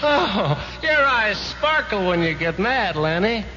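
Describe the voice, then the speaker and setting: Bored artist's model, whiny, wisecracking, very lively pitch, muffled radio comedy, music behind. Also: female voice, takes it slowly. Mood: teasing. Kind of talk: 1970s comic radio ad sketch.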